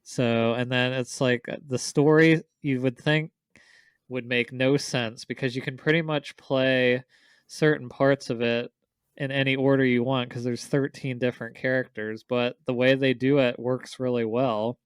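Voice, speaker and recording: A man co-hosts a casual podcast in a smooth, clear voice. His intonation stays fairly flat, and the recording is very dry. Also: medium pitch, a little slow, no room sound. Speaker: podcast co-host.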